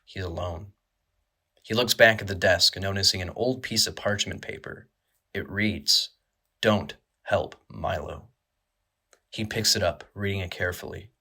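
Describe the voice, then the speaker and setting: A man narrating a scripted horror audio drama in a measured, grave manner. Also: low voice, sharp tone, slightly rough.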